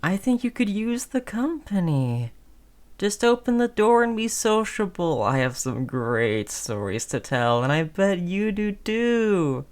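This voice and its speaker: A woman's voice: mid-range voice, very animated, a little slow, darkish tone. A woman playing a sly, chummy female zombie in an audio roleplay, coaxing in a falsely friendly, hesitant conversational way.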